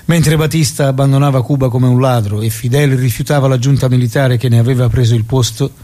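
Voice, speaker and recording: An actor playing a solemn chronicler of the revolution, narrating in a declamatory, elegiac style. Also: male voice, mid-range voice, steady tone, a little fast, mellow, lightly acted. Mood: elegiac, epic. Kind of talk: dramatic scripted narration.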